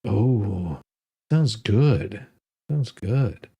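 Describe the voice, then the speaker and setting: An American man talks casually in a gravelly, fry-edged voice. He wanders through his commentary as a host, with a salty, sardonic manner. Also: on the low side, lively intonation, very slow, muffled recording. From Detroit area, United States. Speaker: host of a humorous letter-reading show.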